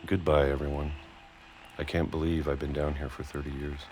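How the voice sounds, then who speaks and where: A middle-aged man playing a weary, resigned recluse in a video game, speaking slowly and haltingly in a contemplative monologue. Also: very deep voice, flat delivery, background noise. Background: prominent music.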